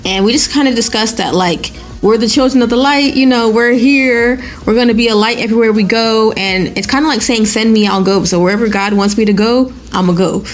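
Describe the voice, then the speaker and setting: A woman's voice: on the high side, a little fast, hardly any pauses. A woman from Nigeria, a hip hop artist guest in an interview, talking in a chatty, enthusiastic way with lots of fillers. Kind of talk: casual interview talk.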